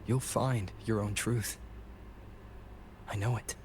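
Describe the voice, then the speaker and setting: A man playing a steadfast young wandering hero in a video game, speaking calmly and deliberately. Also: lowish voice, a little hiss or hum. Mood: sincere reassurance. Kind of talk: scripted video game voice acting. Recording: game music behind the voice.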